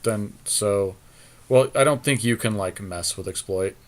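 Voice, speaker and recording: A man's voice: fairly low pitch, unhurried. A man giving casual gameplay commentary as a co-host, thinking aloud and deliberating as he talks with a partner. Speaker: podcast host playing an online card game.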